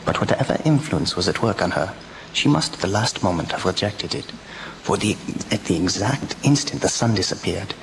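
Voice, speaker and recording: An actor playing a grave witness giving a solemn report in measured, formal radio drama narration. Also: male voice, deep voice. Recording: clear background noise.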